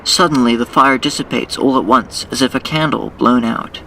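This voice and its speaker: A man acting as narrator of a superhero audio drama, reading scripted scenes in a deliberate, dramatic way. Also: mid-range voice, fairly even intonation, sharp tone, distant mic, a little hiss or hum, low-quality recording.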